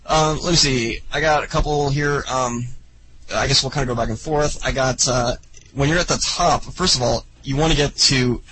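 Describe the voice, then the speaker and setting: A man's voice: mid-range voice, pushed and bright, a little hiss or hum, rough recording. A man co-hosting a casual gaming podcast, rambling with lots of fillers and laughing easily. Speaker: podcast co-host.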